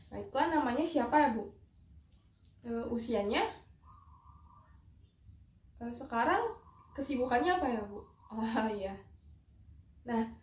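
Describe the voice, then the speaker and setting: A woman's voice: on the high side, a little mellow. A young woman giving a rehearsed, step-by-step instructional demonstration in a polite, procedural manner. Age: young adult. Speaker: dental student operator giving a skills demonstration. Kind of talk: rehearsed instructional demonstration with patient role-play. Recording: echoey room, distant mic, faint noise.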